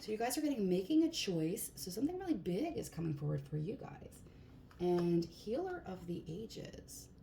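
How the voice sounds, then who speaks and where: Hoarse, gravelly woman reading tarot cards. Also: medium pitch, moderate pace. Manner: chatty, relaxed, many fillers. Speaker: tarot card reader. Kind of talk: casual spoken card reading. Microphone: phone. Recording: echoey room, faint background hiss.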